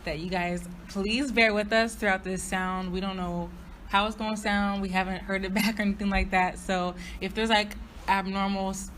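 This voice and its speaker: A woman's voice: medium pitch, steady tone, normal speed, fairly bright tone, a little hiss or hum. A woman in her early twenties from Houston co-hosting a podcast in casual, slangy chat with easy swearing. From Houston, Texas. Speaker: podcast co-host.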